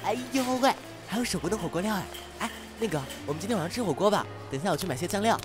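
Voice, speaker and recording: A man's voice: sits high, lively intonation, very fast. A man playing a nagging, thrifty, domestic young man in an audio drama. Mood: excited. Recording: loud background music.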